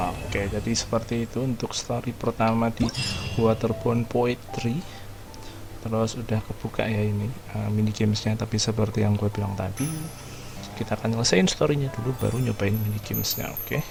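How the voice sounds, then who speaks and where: An Indonesian man giving casual playthrough commentary as a gaming streamer, with a slightly gravelly voice. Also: lowish voice, fairly even intonation, a little fast. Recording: game music behind voice. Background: video game music.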